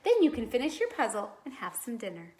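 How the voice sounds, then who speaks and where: A woman voicing a kindly, brisk mother in a storybook, with a gentle motherly manner. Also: a little high, wildly swooping pitch. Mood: amused. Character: kindly, brisk mother greeting her children.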